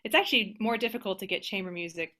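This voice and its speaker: Casting: American woman, bright forward voice, reflective and halting, informal panel talk over video call. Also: medium pitch. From United States. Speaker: panelist, organist and composer. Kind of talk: casual panel discussion.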